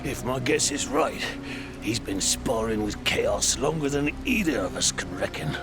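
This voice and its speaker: An actor playing a weary, dying old corsair captain in a dramatic game cutscene, with halting, breathy, salty seafarer speech. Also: male voice, medium pitch, animated. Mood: weary, urgent. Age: older. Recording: loud music and rain behind.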